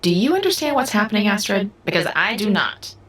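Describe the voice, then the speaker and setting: A woman's voice: mid-range voice, big pitch swings, fairly quick, fairly bright tone, husky. Cool, teasing woman spirit guide acted. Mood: amused, detached. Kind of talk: audio drama acting.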